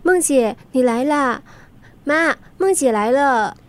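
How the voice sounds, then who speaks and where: An actress playing a worried, sensible young daughter in a radio drama. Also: female voice, high-pitched, animated, slow pace, a little hiss or hum. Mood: explaining. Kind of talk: Chinese radio drama acting.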